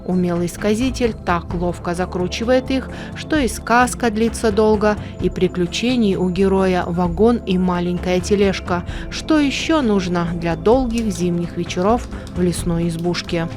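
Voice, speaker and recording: A woman's voice: lowish voice, moderate pace, few pauses. Woman narrating a documentary over prominent music. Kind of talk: scripted narration.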